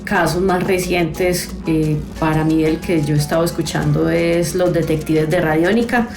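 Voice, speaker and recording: Low, gravelly woman over music. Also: fairly even intonation, moderate pace. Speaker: viewer giving opinion. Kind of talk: casual spoken testimonial.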